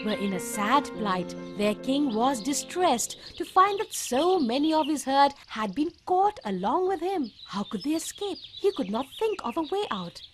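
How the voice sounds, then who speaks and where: A woman narrating a scripted children's bedtime story in an expressive, deliberate way. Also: high voice, a little hiss or hum.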